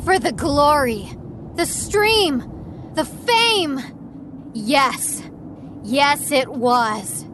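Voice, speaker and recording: Cocky young female demon hunter: high, defiant, scornful, over noisy action-drama ambience. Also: expressive, very slow, pauses often, fairly bright tone, heavily acted. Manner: scornful, brash, fearless. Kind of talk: audio drama acting.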